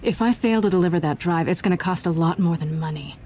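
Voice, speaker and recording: A woman playing a sly, flirtatious, self-assured thief in a video game, with a teasing, sultry, deliberate delivery. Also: on the low side, dark tone, slight background noise, close to an everyday voice. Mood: pressing, cool.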